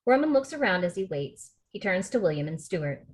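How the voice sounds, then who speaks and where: A woman reading a script's stage directions aloud in a steady, matter-of-fact way for a table read over a video call. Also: medium pitch, fairly quick.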